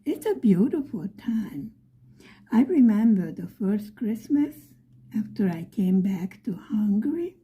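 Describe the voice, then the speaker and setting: A middle-aged woman from Buffalo, New York, telling a story in a soft, often whispery voice. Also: medium pitch, sing-song, unhurried. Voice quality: frequently whispery, breathy delivery. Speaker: vlogger.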